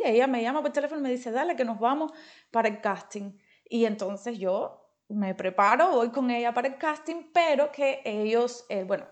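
A Cuban woman with a slightly high voice talks as an interview guest. She tells her own story in a casual, chatty way, acting out bits of dialogue as she goes. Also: a little mellow. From Cuba.

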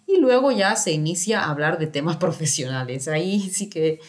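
A woman with a fairly low voice talks through a topic in a relaxed interview. She explains step by step, often adding fillers and tag questions. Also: normal speed. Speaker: guest. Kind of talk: casual interview talk.

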